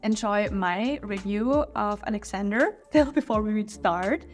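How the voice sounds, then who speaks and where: A woman with a German accent talks through a history review in English, her second language. She sounds casual and opinionated and restarts words now and then. Her pitch sits in the middle, and soft music plays underneath. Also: measured pace. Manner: chatty, opinionated, with small slips and restarts. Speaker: history channel host.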